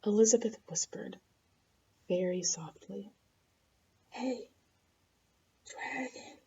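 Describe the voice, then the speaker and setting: A woman's voice: medium pitch, gravelly, warm tone, slightly rough, dull audio. A woman reading a picture book aloud to children expressively, with asides and questions for the listeners. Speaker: storybook reader.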